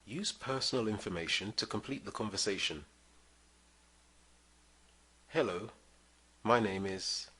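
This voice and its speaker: A man teaching English, reading short phrases slowly and clearly for listeners to repeat. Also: fairly low pitch, sharp tone. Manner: clear drill-style reading of phrases for repetition. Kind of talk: slow scripted language lesson.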